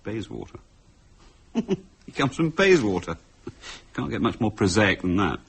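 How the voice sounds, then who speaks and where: An actor with a British accent playing a wry, brisk, curious husband in a radio drama, his voice husky. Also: on the low side, sing-song, a bit bright, heavily acted. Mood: wry, decisive. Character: dry, brisk, curious husband eager for answers.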